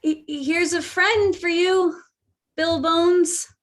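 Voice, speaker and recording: A woman's voice: very high voice, steady tone, takes it slowly. A woman playing a timid fourteen-year-old boy in a radio play reading, with a hesitant, earnest manner. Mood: frightened.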